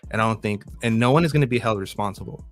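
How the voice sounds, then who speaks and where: Man, co-host, emphatic and profane, lower pitch, faint background music. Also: very fast. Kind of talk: casual live stream chat.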